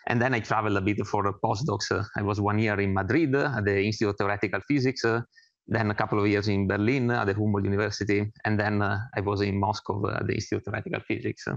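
A man from Italy with an Italian accent gives an informal research presentation as an academic, speaking haltingly with many filled pauses. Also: fairly low pitch, fairly even intonation, creaky, no echo, dull audio. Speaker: academic researcher introducing himself and his work.

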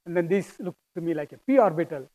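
A man's voice: on the high side, expressive, a little mellow, rough recording. Indian-accented man giving a physics lecture. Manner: halting, thoughtful, with many fillers and restarts. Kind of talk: academic lecture.

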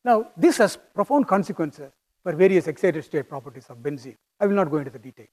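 A man with a strong Indian accent lectures on physics in a high voice. He is middle-aged and talks in a halting, thoughtful way, with fillers and restarts as he works through his ideas. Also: animated, darkish tone. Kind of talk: academic lecture.

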